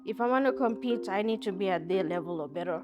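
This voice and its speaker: A woman's voice: mid-range voice, lively intonation, quick pace, dull audio. A woman with a South African accent, a competitive gamer talking in a documentary interview. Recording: music playing behind the voice. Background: background music.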